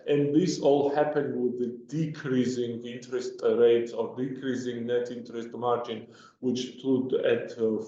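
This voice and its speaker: A man presenting financial results on a conference call as a company executive, speaking haltingly with hesitations and repeated words. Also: mid-range voice, warm tone. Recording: muffled, very echoey conference call audio.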